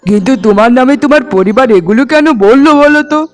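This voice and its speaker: A man's voice: very high-pitched, lively intonation, fast talker. A man voicing an earnest, resolute young suitor in a melodramatic cartoon, speaking in solemn declarations. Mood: concerned. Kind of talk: Bengali cartoon dubbing, melodrama. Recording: music behind the voice. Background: background music.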